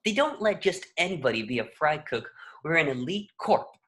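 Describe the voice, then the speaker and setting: A woman voicing an earnest, excitable young male sponge fry cook in a cartoon table reading, eager and exclamatory. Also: sits low, normal speed. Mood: defensive. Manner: eager, exclamatory, indignant when teased.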